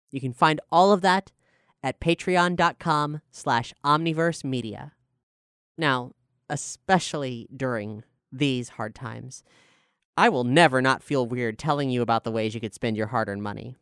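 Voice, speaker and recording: Higher-pitched man, clear and dry podcast host. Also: fairly bright tone, dry recording. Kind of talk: scripted announcement, promotional talk.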